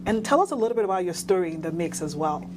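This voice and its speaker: A woman's voice: lowish voice, animated, rapid-fire, background noise. A woman co-hosting an interview who asks her questions with enthusiasm. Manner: enthusiastic and upbeat. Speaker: co-host.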